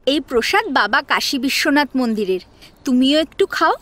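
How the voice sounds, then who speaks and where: Woman playing an earnest high-voiced young college girl. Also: lively intonation, normal speed, a bit bright, a little hiss or hum. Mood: warm, respectful. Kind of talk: Bengali audio drama acting. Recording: music under the voice. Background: sitar music.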